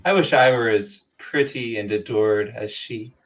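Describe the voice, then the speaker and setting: A woman's voice: rumbling low, a little slow, poor audio. A woman playing a prim, wistful young lady confiding in her diary in a gothic play. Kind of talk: amateur script read-through, diary monologue.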